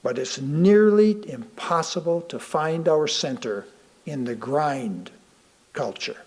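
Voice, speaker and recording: An American man reads a sermon aloud to a congregation, speaking deliberately with pauses for emphasis and rhetorical questions. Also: on the high side, animated, a little mellow, slightly rough. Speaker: lay reader delivering a sermon.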